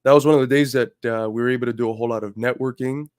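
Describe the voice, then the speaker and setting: A man's voice: medium pitch, steady tone, rapid-fire, no room sound, clean studio sound. A man hosting a livestream, talking earnestly and building to emphatic points while feeling under the weather. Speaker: livestream host. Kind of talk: casual livestream talk.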